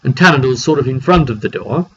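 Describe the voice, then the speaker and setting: A man giving an informal, dramatic recap of a tabletop roleplaying session as game master, telling it like an animated story. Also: medium pitch, quick pace. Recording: dull lo-fi mic with background noise.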